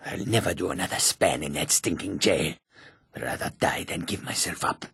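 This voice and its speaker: Gravelly, surly cat-man bandit. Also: low voice, moderate pace, fairly bright tone, dry recording, clean studio sound, heavily acted. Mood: grumbling. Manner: coarse, clipped, grumbling. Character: rough, surly, greedy male cat-folk bandit. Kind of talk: fantasy video game character acting.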